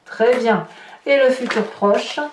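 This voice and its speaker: A woman's voice: fairly high pitch, slow. A woman hosting an informal tarot reading, addressing viewers and thinking aloud in chatty, hesitant asides. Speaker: tarot reader, channel host. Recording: distant mic, roomy echo, noticeable hiss.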